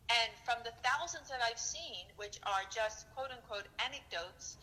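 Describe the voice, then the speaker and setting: A middle-aged woman pediatrician in a phone interview, talking in a lively way, laughing often and acting out what she says to people. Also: on the high side, normal speed, rough recording. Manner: lively, laughs often, acts out quoted remarks. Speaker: pediatrician guest. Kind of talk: phone interview, animated storytelling. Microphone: phone line.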